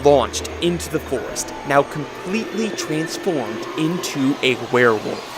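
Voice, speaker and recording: A man's voice: a little high, expressive, unhurried, over loud music. A man narrating a scripted radio drama as its storyteller, in a dramatic style.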